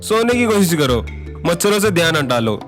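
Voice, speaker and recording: A man's voice: on the high side, sing-song, moderate pace, faint music behind. A man playing a mild, placating young husband in a family story, reasoning in a soothing way. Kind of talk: dramatised Hindi family story acting.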